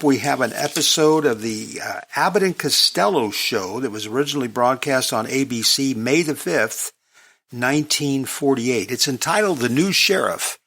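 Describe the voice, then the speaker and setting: A man with a bright, forward voice and middling pitch hosts a programme of old radio shows. He announces each one in a casual style. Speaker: podcast host introducing old radio shows.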